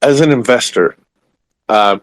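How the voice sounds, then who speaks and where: A man's voice: medium pitch, unhurried, no room sound. A man who is a native Portuguese speaker, giving his opinion earnestly in a casual group discussion. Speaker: investor, discussion participant. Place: live online audio room.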